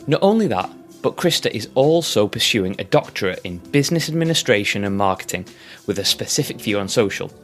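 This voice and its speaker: Upbeat British male podcast host. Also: mid-range voice. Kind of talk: podcast intro and interview.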